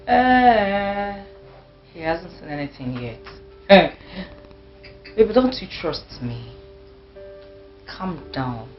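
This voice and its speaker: A woman playing a smug, scheming lover in a film drama, drawling teasingly with a Nigerian accent. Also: fairly low pitch. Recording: muffled film audio with faint music.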